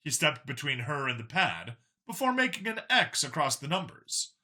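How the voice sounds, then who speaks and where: Bright, animated female story narrator. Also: deep voice, normal speed. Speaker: audiobook narrator.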